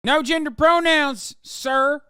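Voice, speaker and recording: A man's voice: very high-pitched, slow, pushed and bright, no echo, studio quality. A man in his thirties, a native Turkish speaker, ranting sarcastically with heavy swearing while reacting to a clip on his stream. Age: 30s. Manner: heated, sarcastic ranting with many fillers and swearing. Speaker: streamer reacting to news clips. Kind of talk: casual profane commentary on a livestream.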